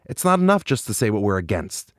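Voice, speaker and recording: A man hosting an interview podcast, speaking articulately with enthusiastic, lively emphasis. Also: on the low side, quick pace, no echo, studio quality. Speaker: podcast host.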